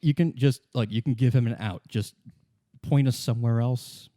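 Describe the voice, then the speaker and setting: A man's voice: mid-range voice, dark tone, dry recording, studio quality. A man casually narrating a tabletop game as game master, improvising and rephrasing as he goes. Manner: informal, halting, rephrasing as he goes.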